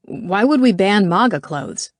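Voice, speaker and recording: A woman's voice: mid-range voice, expressive, measured pace, dry recording, natural voice. A woman voicing a belligerent male school coach with a dismissive, needling manner in an audiobook. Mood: scoffing. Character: scoffing, belligerent man, school football coach.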